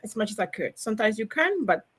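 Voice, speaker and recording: A woman with a Cuban Spanish accent, a native Spanish speaker, talking about her logistics work in a podcast interview with lively, upbeat energy and frequent laughs. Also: mid-range voice, fast, breathy edge. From Cuba. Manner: energetic, upbeat, laughs often, repeats words. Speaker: logistics salesperson, guest.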